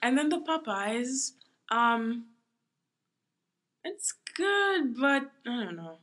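Casting: young woman from Trinidad, podcast co-host, easygoing chatter, quick to laugh. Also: on the high side, big pitch swings, a bit bright. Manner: laid-back, laughs often, trails off mid-thought. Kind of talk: casual chat.

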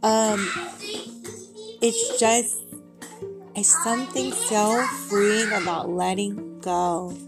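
A young woman with a raspy voice, talking at home in a rambling, giggly, upbeat way about positivity, with music behind her. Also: mid-range voice, lively intonation, very slow, pauses often. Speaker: vlogger. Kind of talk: casual motivational talk to camera. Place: home with kids playing.

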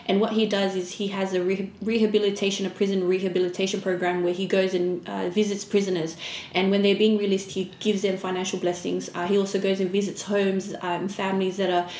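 A woman's voice: mid-range voice, flat delivery, a little fast, darkish tone. A woman chatting casually as a podcast guest. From Fiji-born, raised in Australia. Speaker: podcast guest, small business owner. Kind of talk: casual interview chat. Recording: echoey room with background noise.

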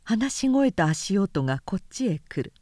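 A female narrator gives a literary audiobook recitation. Her delivery is measured and expressive, at a middling pitch, in a dry, close recording. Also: no room sound. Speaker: narrator reading fiction aloud.

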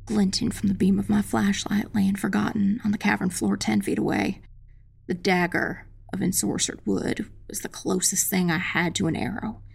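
A woman playing a determined witch who narrates a dark fantasy audiobook in an intense, dramatic, breathy voice with heavy creak. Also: medium pitch, exaggerated voice. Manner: intense, hushed, dramatic first-person narration. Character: determined young witch telling her own battle.

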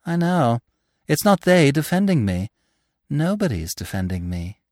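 Man acting a cool, mocking captive adventurer, lively pitch. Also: unhurried, no room sound, studio quality. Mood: wry, composed.

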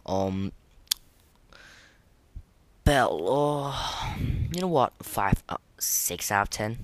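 A man's voice: fairly low pitch, no echo. A young man hosting a casual, unscripted podcast of game ratings in a hesitant, rambling, informal way. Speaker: podcast host.

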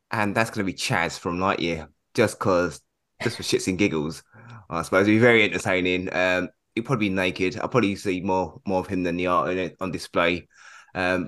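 Upbeat low-voiced male podcast host. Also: a bit bright. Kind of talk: casual podcast chat.

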